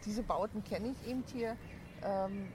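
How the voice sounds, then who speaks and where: Middle-aged woman, chatty and halting, recorded outdoors with street noise. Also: mid-range voice. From Berlin, Germany. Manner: chatty, halting with restarts and fillers. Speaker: interviewee, resident. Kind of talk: casual chat. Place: outdoors by a high-rise block. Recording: outdoors with loud background noise.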